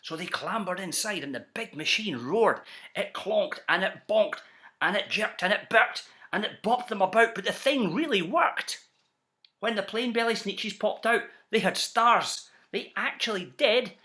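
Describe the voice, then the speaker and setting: A Scottish man reading a rhyming children's story aloud in an animated storyteller style. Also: high voice, measured pace. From Scotland. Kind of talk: animated storybook narration in rhyme.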